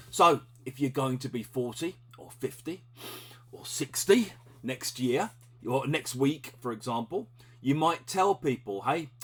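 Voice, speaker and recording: A middle-aged man explaining vocabulary to learners in a relaxed, conversational way, acting out short example dialogues. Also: mid-range voice. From England. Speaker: English teacher.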